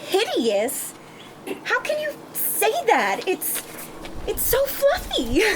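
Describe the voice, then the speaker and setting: Woman doing a sassy loud-mouthed proud customer, high voice goes all over the place, very dramatic table read. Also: wildly swooping pitch, drawn-out words, noisy background, heavily acted. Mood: offended, self-satisfied. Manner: emphatic, theatrical, sharp-tongued. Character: brash, sassy, plus-sized female bridal customer, indignant and proud.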